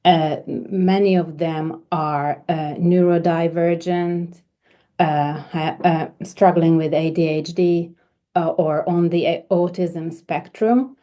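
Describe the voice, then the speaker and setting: Slow, soft-spoken woman from Hungary. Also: lowish voice, fairly even intonation. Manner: hesitant, many repeated words and fillers. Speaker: homeopath guest. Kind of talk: conversational interview.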